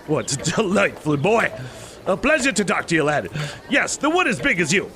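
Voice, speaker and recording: A man's voice: sits high, sing-song, normal speed, bright, forceful tone, soft background music, full character voice. A man playing an elderly reformed miser in an audio drama, theatrical, exclamatory and giddy with joy. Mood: excited, delighted. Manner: theatrical, exclamatory, bursting into laughter.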